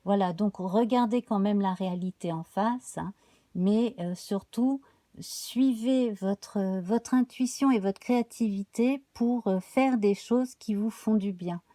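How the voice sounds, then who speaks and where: A slightly hoarse woman giving a live tarot card reading, talking slowly and haltingly with many fillers. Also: mid-range voice, dark tone, dry recording, clean studio sound. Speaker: tarot reader.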